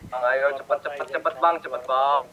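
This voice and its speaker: A man's voice: mid-range voice, steady tone, normal speed, dull audio, a crowd in the background. A man with an Indonesian accent in casual game chat, urging the others with short repeated orders. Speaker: online roleplay player.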